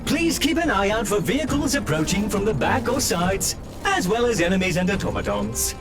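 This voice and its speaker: A man's voice: high voice, lively intonation, normal speed. A man voicing a small, polite automated taxi robot in a video game, giving formal scripted announcements. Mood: polite, informative. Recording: game music behind the voice.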